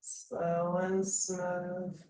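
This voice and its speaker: A woman leading a slow guided meditation in a calm, soothing manner, leaving long pauses between phrases. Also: fairly low pitch. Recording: roomy echo, distant mic, faint noise.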